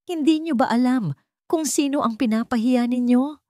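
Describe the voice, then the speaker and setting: A woman's voice: sits high, normal speed, mellow, dry recording, studio quality, pitched above the performer's own voice, lightly acted. A woman voicing an angry, protective husband in a story, firm and seething with restrained fury. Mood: furious. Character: angry, protective billionaire husband, adult man.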